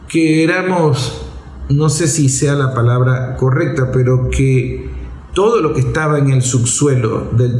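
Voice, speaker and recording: A man's voice: mid-range voice, a little mellow, echoey room, rough recording. A man with a Venezuelan accent delivers a political commentary monologue as a show host, explaining didactically in short, emphatic, repeated phrases.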